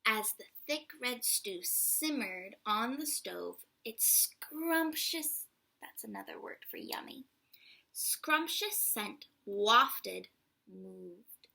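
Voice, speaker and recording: A woman storyteller reading a picture book aloud expressively, drawing out words and dropping into hushed asides to the listener. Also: fairly high pitch, lively intonation, slow, gravelly. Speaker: children's storyteller.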